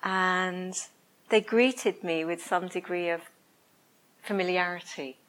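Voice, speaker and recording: A woman with a soft voice giving a reflective meditation talk to a group, speaking slowly and pausing often to search for the right words. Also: lowish voice, steady tone, rough recording. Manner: slow, hesitant, searching for words. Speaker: meditation teacher. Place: room with a listening group.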